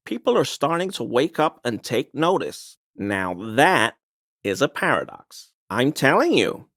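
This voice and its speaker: A man's voice: on the high side, fairly bright tone, dry recording, studio quality, full character voice. An actor playing a smug, paranoid conspiracy-peddling man in a video game, explaining things in a pedantic, insinuating, mock-apologetic way. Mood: conspiratorial, evasive.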